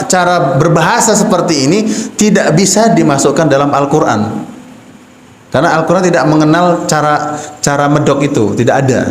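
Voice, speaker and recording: A Javanese man teaching Quran recitation to imams and preachers in a mosque, explaining patiently with rhetorical questions. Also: a little high. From Java, Indonesia. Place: mosque hall. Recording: echoey mosque hall, faint background hum.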